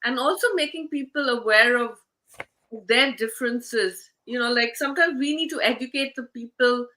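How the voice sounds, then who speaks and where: Bright, high-pitched woman host. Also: normal speed, poor audio. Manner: chatty, many fillers like "you know" and "uh". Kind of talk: conversational interview.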